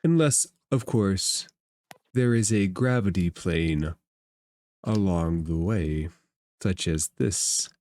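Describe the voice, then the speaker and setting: A man narrating a tabletop role-playing game as game master, with a measured, halting delivery. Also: low voice, darkish tone, no room sound, clean studio sound.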